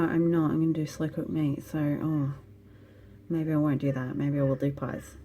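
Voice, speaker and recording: Low-voiced chatty Australian woman. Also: mellow, slight background noise. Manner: rambling, chatty, thinks aloud. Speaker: vlogger and small online shop owner. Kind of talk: casual vlog chat. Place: home living room.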